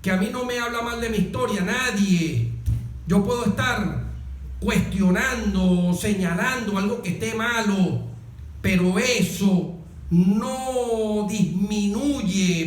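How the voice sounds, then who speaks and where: A man's voice: sits high, takes it slowly, echoey room, rough recording. A middle-aged Venezuelan man delivering a patriotic political monologue on a talk show, emphatic and oratorical, using repetition and rhetorical questions. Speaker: radio host and former soldier. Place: radio studio.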